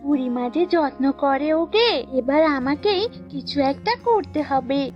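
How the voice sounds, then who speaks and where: A sulky, jealous goat from a children's cartoon, in a high voice. It scolds and grumbles like a neglected pet, with music playing behind. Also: female voice, animated, a little slow, lo-fi sound. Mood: angry, spiteful. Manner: scolding, complaining. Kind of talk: cartoon voice acting in a children's fable.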